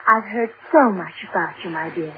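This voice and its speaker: A woman's voice: lowish voice, moderate pace, close to an everyday voice. An actress playing a sophisticated female android posing as a wife in a radio drama, with polished, gushing social manners. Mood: gracious. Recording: muffled, phone-like radio recording, faint noise.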